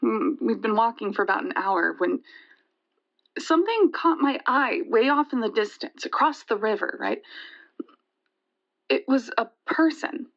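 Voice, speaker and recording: A woman playing a nervous caller to a radio show, haltingly telling a frightening memory. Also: on the high side, expressive, vocal fry, muffled recording, exaggerated voice. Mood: uneasy, haunted. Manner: hesitant, halting. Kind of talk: halting personal storytelling, audio drama.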